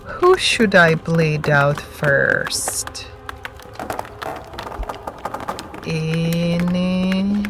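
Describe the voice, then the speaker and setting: A woman playing an ancient, starved vampire witch in an audio drama, cruel and mocking, with theatrical menace. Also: on the low side. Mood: predatory. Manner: slow, taunting, theatrical menace.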